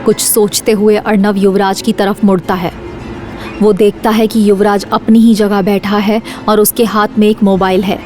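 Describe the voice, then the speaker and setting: A woman's voice: medium pitch, flat delivery, moderate pace, a little mellow, faint music behind. A woman reading scripted suspense narration for an audio drama series.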